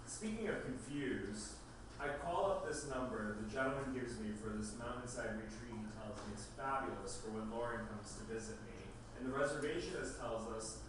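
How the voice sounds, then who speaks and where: An actor at a table read playing a chatty, indignant man telling a dinner story with dramatic, drawn-out emphasis. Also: mid-range voice, lightly acted. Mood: indignant, storytelling. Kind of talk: acted dialogue read from a script. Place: echoey room, table read. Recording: very echoey room, distant mic, noisy.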